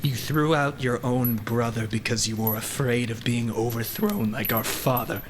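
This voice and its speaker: A man's voice: mid-range voice, steady tone, moderate pace, a little hiss or hum, close to an everyday voice. A man playing a proud, bitter god of the underworld in a radio play, intense and defiant. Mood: bitter, accusing. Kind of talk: audio drama acting.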